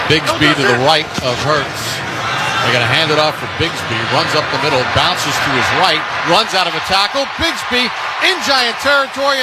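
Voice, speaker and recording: An American man calling a football game live on the radio as the play-by-play announcer. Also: fairly high pitch. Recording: loud stadium noise behind the voice. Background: stadium crowd and field noise.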